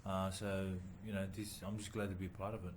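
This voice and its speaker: A man from New Zealand, a boxer, answering interview questions haltingly with many pauses and fillers. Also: low voice, little pitch movement, a little hiss or hum. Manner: halting, many ums and uhs, soft-spoken. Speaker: boxer and former rugby league player, guest. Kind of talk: casual interview.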